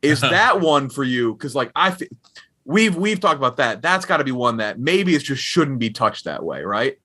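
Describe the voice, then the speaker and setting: A man's voice: mid-range voice, very animated, normal speed, bright, forceful tone. A man hosting a casual podcast interview, chatty, asking long questions that restart and circle around.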